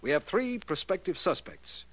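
An actor playing an earnest, matter-of-fact district attorney with clipped delivery, in a 1940s radio crime drama style. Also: male voice, high-pitched, very animated, moderate pace, fairly bright tone, rough recording. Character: earnest, official male district attorney, easily corrected.

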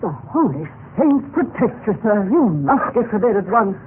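A man's voice: high-pitched, sing-song, normal speed. An actor playing a fretful, pious old landlady in an old radio drama, anxious and exclamatory. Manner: fretting, exclamatory. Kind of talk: 1950s radio drama acting. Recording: noisy, tinny old radio recording.

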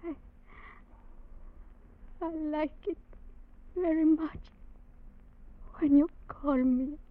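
A high, weak voice plays a devoted, gravely hurt Mexican woman. She speaks slowly in halting broken English, tender and brave, through the dull sound of 1940s radio drama. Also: steady tone. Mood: tender, fading. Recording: dull vintage radio broadcast.

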